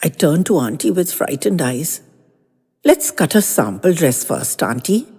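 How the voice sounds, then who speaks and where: A woman reads a story with a lively, rising and falling voice. Her tone is mellow and a little husky, and she takes her time. A long reverb trails her words, with faint music underneath. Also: sits low, measured pace. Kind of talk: scripted story narration.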